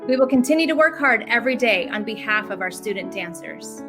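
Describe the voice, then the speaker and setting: A woman speaks in a fairly high voice, reading a prepared address as an organization's chief executive. Music is clearly audible behind her. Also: expressive, a little fast. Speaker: ballet school president and CEO. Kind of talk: scripted address to viewers. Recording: prominent music under the voice.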